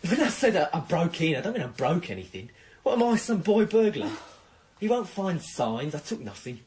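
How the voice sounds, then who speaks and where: An actor playing a boastful, jumpy small-time criminal who talks himself up in a radio thriller. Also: male voice, a little high, sing-song, normal speed, a little mellow, slight background noise. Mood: defensive, boastful. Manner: boastful, speaks of himself by name.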